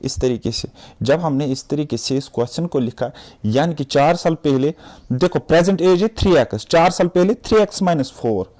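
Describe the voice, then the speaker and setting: Young Kashmiri man, patient math tutor, slightly high pitch, mellow tone, repeats himself to make sure students follow. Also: expressive, normal speed. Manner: patient, repetitive explaining, often checking understanding. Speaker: teacher. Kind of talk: exam-prep math lesson.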